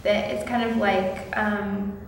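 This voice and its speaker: A woman's voice: medium pitch, flat delivery, slow pace. A woman explaining something casually in an interview, in a tentative, explanatory way. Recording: very echoey hall, distant, noisy.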